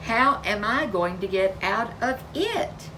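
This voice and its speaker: A woman's voice: mid-range voice, expressive, slow pace, distant mic, background noise, pitched above the performer's own voice. A woman voicing an eager, nervous little girl bear cub in a storybook. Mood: worried.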